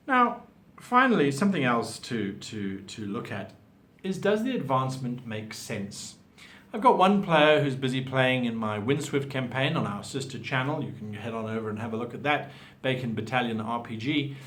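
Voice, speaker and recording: A man's voice: mid-range voice, fairly bright tone. A man giving an instructional monologue as a host, articulate and emphatic in his explanations. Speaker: host giving tabletop role-playing advice.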